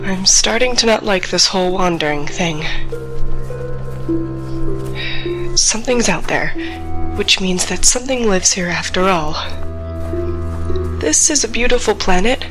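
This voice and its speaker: A woman playing an anxious, self-doubting young student explorer stranded alone, talking to herself haltingly in an audio drama monologue. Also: mid-range voice, lively intonation, over music, lightly acted. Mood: nervous, hopeful.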